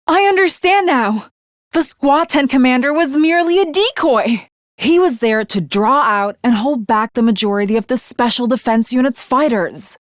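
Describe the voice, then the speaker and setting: A woman's voice: a little high, no room sound, studio quality, close to an everyday voice. A woman voicing a fervent young samurai maiden in an anime video game, with archaic, formal and theatrical diction. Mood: grave, analytical.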